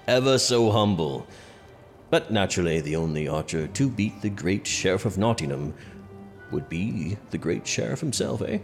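An actor playing a haughty, sardonic prince in a medieval audio drama, speaking in a dry, pompous, measured way. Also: male voice, deep voice, expressive. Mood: wry. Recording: reverberant hall with faint music behind.

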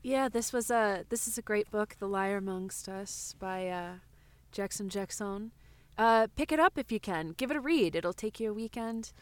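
A woman's voice: medium pitch, normal speed, no echo, close to an everyday voice. A woman playing an earnest, chatty aspiring writer who co-hosts a book podcast in an improvised audio comedy. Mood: gentle, halting. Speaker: podcast co-host.

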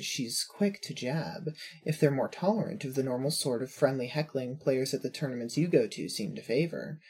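A woman narrates scripted fiction. Her voice is low for a woman and noticeably husky. She reads as a storyteller setting out scenes and thoughts. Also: darkish tone.

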